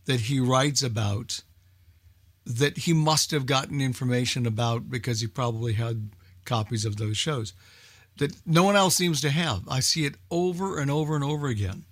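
A man's voice: medium pitch, normal speed, a bit bright, no room sound. Man chatting casually in a dry, close sound. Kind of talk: casual group conversation.

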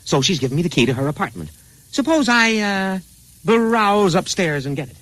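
An actor playing an eccentric, boastful struggling painter with a breezy, chatty manner, in old-time radio comedy style. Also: male voice, high-pitched, sing-song, normal speed, a bit bright, dry recording, rough recording. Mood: casual, sly. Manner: breezy, chatty, proud of his own work. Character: eccentric struggling painter, boastful, oblivious, sly, eager to show off.

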